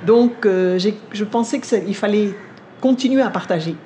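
An older woman whose first language is Vietnamese, speaking in a sit-down interview in a living room, measured and thoughtful with frequent pauses. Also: medium pitch, warm tone, a little hiss or hum. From India. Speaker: cookbook author.

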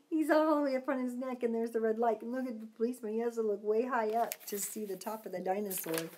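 A woman's voice: fairly high pitch, fairly quick, darkish tone, low-quality recording. A middle-aged woman reading a storybook expressively to children, with simple chatty asides and questions. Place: living room at home.

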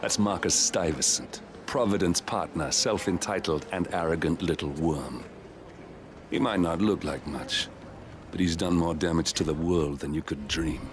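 An actor playing an intense, embittered covert mission handler, briefing in a focused, confiding way. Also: male voice, low voice, moderate pace, background noise, natural voice. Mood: bitter. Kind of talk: mission briefing over comms. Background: game music.

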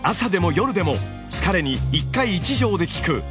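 A man's voice: high voice, very animated, normal speed. A man reading a scripted radio advertisement for medicine as a commercial announcer. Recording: music bed behind the voice.

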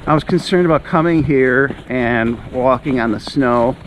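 A man's voice: medium pitch, moderate pace, highs cut off. Middle-aged man chatting outdoors, noticeable background noise. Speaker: vlog host on a hike. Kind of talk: casual chat while walking. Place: outdoors on a snowy woodland trail. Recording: noisy outdoor recording, walking on snowy trail.

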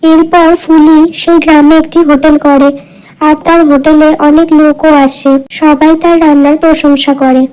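A woman narrates a moral fairytale from a script. Her voice is high and mellow, telling the story in the third person for a cartoon. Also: flat delivery, moderate pace, poor audio.

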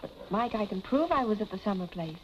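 An actress playing a poised, well-to-do young wife with composed, genteel diction in 1940s film style. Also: female voice, mid-range voice, lively intonation, quick pace, a little hiss or hum, natural voice. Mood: uneasy. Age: young adult. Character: poised, self-possessed wealthy young wife, soothing then uneasy. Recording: dull 1940s film soundtrack with music.

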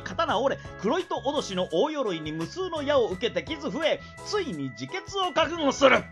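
An actor playing a boastful young ex-bandit samurai with an eloquent, rough-tongued, theatrical delivery in a radio drama. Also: male voice, extremely high pitch. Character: boastful, glib 25-year-old ex-bandit samurai.

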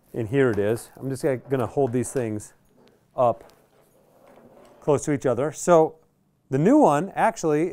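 A man's voice: medium pitch, darkish tone, smooth. A middle-aged American man presenting a product in a casual, conversational way, thinking aloud as he goes. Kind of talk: casual unboxing commentary. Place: indoor radio workshop.

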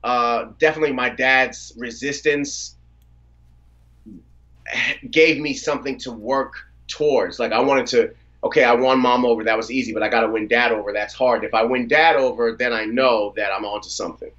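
A man in his thirties talks over a video call, relaxed and chatty, easily slipping into laughter as he tells his stories. His voice sits in a middle range, and the call audio sounds a little dull and lo-fi. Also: medium pitch, normal speed. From United States, Washington DC area. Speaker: guest rapper. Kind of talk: casual interview on a video call. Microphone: video call from a phone or laptop.

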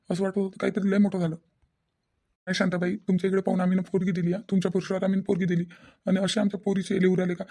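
A woman's voice: medium pitch, vocal fry. A woman voicing an agitated, protective middle-aged father in a cartoon family drama, firing demanding questions. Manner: loud, repetitive, demanding questions. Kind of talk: Marathi cartoon family comedy-drama acting.

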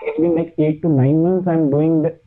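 Podcast guest: Indian-accented man, Hindi native, halting delivery, fairly high voice, phone-thin sound. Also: steady tone, a loud crowd. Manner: halting, many fillers and restarts. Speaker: podcast guest, fitness YouTuber. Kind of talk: casual chat. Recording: tinny phone-like line.

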